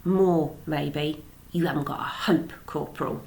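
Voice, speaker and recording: A woman's voice: low voice, fairly even intonation, a little slow, creaky, darkish tone. A woman voicing a boastful, scoffing male farmer who is proud of his new tractor.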